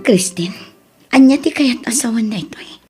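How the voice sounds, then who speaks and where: A woman's voice: a little high, animated, moderate pace, music in the background, lightly acted. A woman with an Ilocano Filipino accent playing an inquisitive elder female relative in an audio drama. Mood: shocked.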